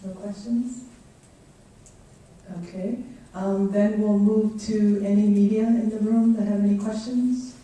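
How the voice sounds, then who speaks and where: A woman politely moderating a formal question session in a measured way. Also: medium pitch, steady tone, warm tone. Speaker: moderator of a briefing. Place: meeting room without microphones for speakers. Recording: echoey room, distant microphone, faint noise.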